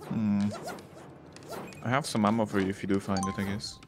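A man's voice: lowish voice, flat delivery, noisy background. A man streaming a team game, joking, swearing and calling out moves over voice chat. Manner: joking, swearing, giving quick game calls. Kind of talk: casual gaming chat. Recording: game music behind voice. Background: game music.

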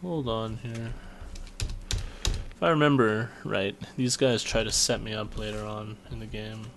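A man's voice: on the low side, measured pace, a bit bright, slight background noise. A young man casually commentating on a game from his bedroom, offhand and self-deprecating. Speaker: let's play commentator. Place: bedroom at home.

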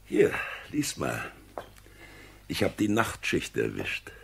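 An actor playing an easygoing detective's sidekick in a radio crime drama. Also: male voice, very deep voice, wildly swooping pitch, slow pace, gravelly, fairly bright tone, a little hiss or hum, full character voice.

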